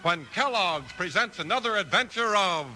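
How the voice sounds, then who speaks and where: High, lively male 1950s radio announcer. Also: normal speed, slight background noise, rough recording. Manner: emphatic, polished sales delivery. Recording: vintage radio broadcast with music. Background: music.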